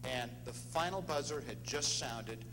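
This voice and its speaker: A man's voice: mid-range voice, measured pace. A man giving an informal speech as presenter to an audience at a ceremony. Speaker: former radio sports announcer. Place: large room at a banquet event. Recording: noisy echoing hall with hum.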